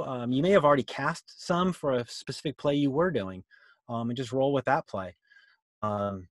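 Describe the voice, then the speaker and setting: Middle aged guy doing a casual online theater workshop, playful, medium pitch, close dry sound. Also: no echo. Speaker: presenter, youth theatre director. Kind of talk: informal webinar presentation. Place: small room indoors, video call.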